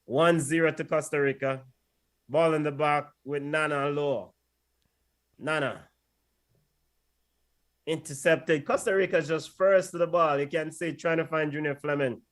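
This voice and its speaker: A man's voice: a little high, fairly even intonation, a little slow, long pauses, fairly bright tone. A man doing casual play-by-play on a watch-along stream, and often pleading with the players and the referee. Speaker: co-host and match commentator.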